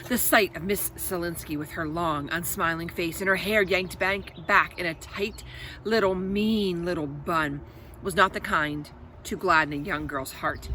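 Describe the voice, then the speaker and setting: Bright-voiced woman reading a storybook aloud. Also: on the low side, unhurried, slight background noise. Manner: expressive, explanatory, addresses children directly. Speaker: read-aloud host for children. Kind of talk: storybook read-aloud with asides to young listeners.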